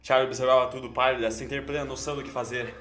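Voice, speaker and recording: A man's voice: medium pitch, flat delivery, fast talker, a bit bright. Theatrical male narrator with heavy echo. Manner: theatrical, solemn. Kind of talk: scripted dramatic story narration. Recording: echoing voice over music.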